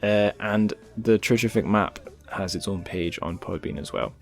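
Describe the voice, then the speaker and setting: A man with a fairly low voice hosts a podcast in a casual, informal way. He has a wry, self-deprecating manner, and there is faint music behind him. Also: unhurried, creaky. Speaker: podcast host. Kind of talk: casual podcast talk with plugs.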